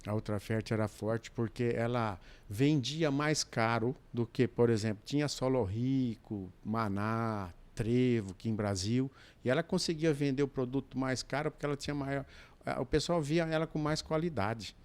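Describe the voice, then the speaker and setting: A man's voice: medium pitch, normal speed, darkish tone, clean tone. A Brazilian man, a podcast guest who tells his work history in loose, rambling sentences full of restarts and asides. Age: 60s. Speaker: podcast guest, businessman and dispatcher. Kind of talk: relaxed storytelling in a chat.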